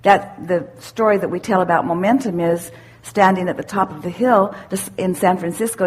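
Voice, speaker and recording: A woman giving spiritual self-help teaching to a questioner, assured and deliberate, repeating phrases for emphasis. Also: mid-range voice, breathy edge. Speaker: speaker leading a question-and-answer workshop.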